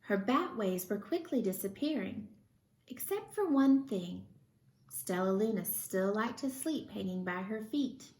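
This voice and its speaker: Female storybook reader: unhurried, expressive read-aloud delivery for young children, recorded with audible room echo. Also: medium pitch, gravelly. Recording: roomy, echoey sound.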